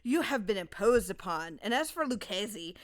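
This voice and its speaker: Woman as an excitable, tipsy, boastful nobleman. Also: medium pitch, moderate pace, natural voice. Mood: eager, insistent. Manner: exclamatory, insistent, boastful. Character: excitable drunken Italian nobleman, proud wine connoisseur.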